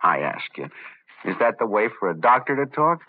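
A man's voice: on the low side, sing-song, fairly quick. An actor playing a nervous, balding middle-aged businessman in an old-time radio drama, stammering and agitated, with an American accent. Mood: scornfully amused. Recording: muffled narrow-band old radio recording.

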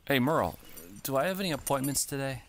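A man playing a tired homeowner in a comedy radio play, answering in flat, put-upon replies. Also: medium pitch, quick pace, a little hiss or hum, close to an everyday voice. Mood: weary. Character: weary homeowner Frank, adult man.

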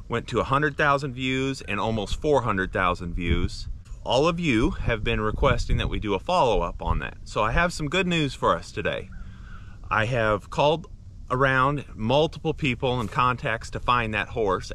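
A man's voice: on the low side, sharp tone, slight background noise. A man in his thirties, a horse trainer, talking earnestly to camera outdoors with many fillers and restarts. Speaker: horse trainer and channel host. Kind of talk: talking to camera, casual update. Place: outdoors in a field by bare trees.

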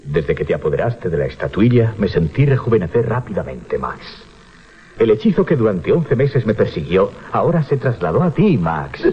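A man's voice: fairly low pitch, sing-song, normal speed. An actor playing a rejuvenated man who speaks with ominous deliberation in a radio horror drama. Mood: coldly gloating. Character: Martin, rejuvenated man, coldly gloating over his victim. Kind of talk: 1980s Spanish radio horror drama acting. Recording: dull 1980s radio recording.

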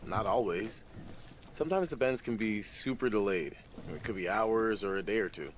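A man's voice: lowish voice, moderate pace, a little hiss or hum, close to an everyday voice. An actor playing an earnest, protective young male diver in a video game. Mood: concerned. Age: young adult. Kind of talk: naturalistic video game acting.

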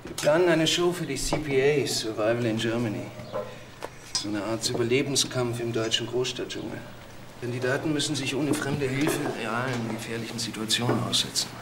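A man's voice: mid-range voice, moderate pace, close to an everyday voice. A man playing a defensive, earnest widower being questioned in a crime drama. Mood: explaining. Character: defensive widowed husband under police questioning. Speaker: actor playing a questioned husband. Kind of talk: German TV crime drama dialogue. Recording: clearly noisy drama soundtrack.

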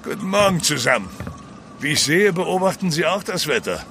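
An actor playing a stern, proud ship's captain in an audio drama, speaking with formal command. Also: male voice, a little high, big pitch swings, moderate pace, bright, forceful tone, a little hiss or hum, full character voice. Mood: confident. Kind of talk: German radio play acting.

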